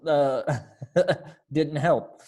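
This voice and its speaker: A man's voice: a little high, very slow, dark tone. A young adult man casually directing a drama practice over a video call, phrasing things informally and a little hesitantly. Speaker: activity leader directing a readers theater practice. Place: indoor room on a video call. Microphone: wired earphone mic on a video call.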